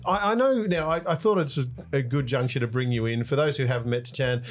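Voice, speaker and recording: A man's voice: mid-range voice, quick pace. A man hosts a podcast interview in a conversational way and asks clarifying questions. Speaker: podcast host.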